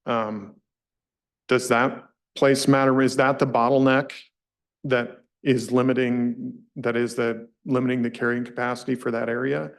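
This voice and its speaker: A man's voice: mid-range voice, level tone, a little slow, vocal fry. A man at a public commission meeting, talking through a complicated issue in a thoughtful, hedging way. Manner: thoughtful, self-questioning, hedging. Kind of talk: deliberative public meeting remarks.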